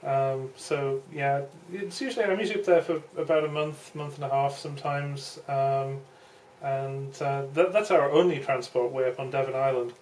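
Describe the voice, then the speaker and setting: A woman's voice: a bass voice, moderate pace, a little mellow, low-quality recording. A woman chatting casually as an amateur radio operator during a two-way contact. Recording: faint background radio hiss.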